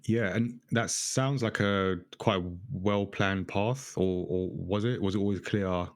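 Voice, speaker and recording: A man co-hosting a podcast, asking questions in a relaxed, affirming way. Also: on the low side, takes it slowly. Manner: relaxed, affirming, laughs easily. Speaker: podcast co-host. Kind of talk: casual interview chat.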